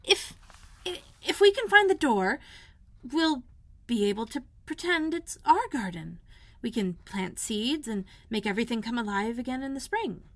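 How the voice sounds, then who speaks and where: Woman voicing an eager young storybook girl, pitched up. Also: animated, normal speed. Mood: excited. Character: eager, bossy ten-year-old orphan girl, full of wonder.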